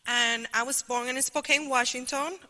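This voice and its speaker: A woman's voice: high-pitched, fairly even intonation, normal speed, pushed and bright. A woman from southern Spain, a native Spanish speaker with a Spanish accent, introducing herself to a group in a chatty, joking way. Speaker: college academic advisor. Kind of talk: informal self-introduction to a group. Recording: reverberant hall.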